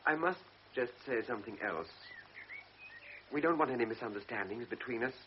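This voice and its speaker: An earnest young cavalry lieutenant, voiced by an actor, speaks politely and hesitantly in a mid-range voice. The recording has the muffled tone and faint hiss of an old radio drama. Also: male voice, medium pitch, lively intonation, fairly quick, close to an everyday voice.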